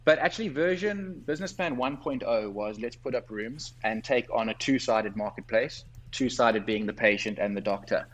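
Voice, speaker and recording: A man with a South African accent, a guest entrepreneur who explains things in a clear, structured way in a conversational interview, tired late at night. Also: medium pitch, normal speed, few pauses, slight background noise, poor audio.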